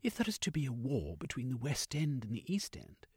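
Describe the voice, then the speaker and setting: A man's voice: medium pitch, very animated, fairly quick, a little mellow, breathy edge, no echo, pitched above the performer's own voice. A man voicing a composed upper-class lady and wife who makes dry, unruffled remarks. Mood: cool.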